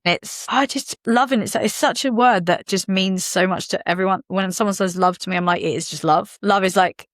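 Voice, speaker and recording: A woman, a podcast guest chatting casually in an animated, joking way. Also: lowish voice, no room sound, clean studio sound. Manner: animated, jokey, quick asides. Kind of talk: casual chat.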